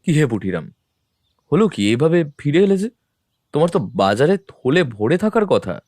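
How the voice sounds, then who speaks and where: A man voicing a calm, composed detective in an audio drama, speaking in a measured, matter-of-fact way. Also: on the high side, no room sound. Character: calm, composed male detective, middle-aged. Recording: dry close mic.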